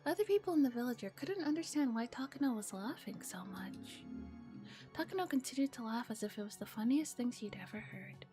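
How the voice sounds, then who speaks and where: A soft-spoken woman streamer reading a visual novel aloud in an ASMR style, often dropping into whispers and adding casual comments. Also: sits high, animated, a little fast, soft background music.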